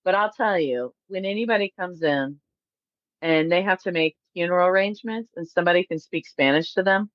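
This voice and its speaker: A woman's voice: fairly low pitch, a little fast, no room sound, dull audio. Dry-sounding woman podcast host. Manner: affirms often with short yeahs, asks questions. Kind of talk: casual chat.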